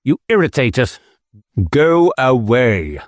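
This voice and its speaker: An eccentric village squire from an audiobook, played by a male narrator, lifted above a low natural register. He is sly and theatrical, taunting outsiders with deliberate, drawn-out disdain in a close, dry recording. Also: very animated, no room sound. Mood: lofty, disdainful. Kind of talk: audiobook character acting.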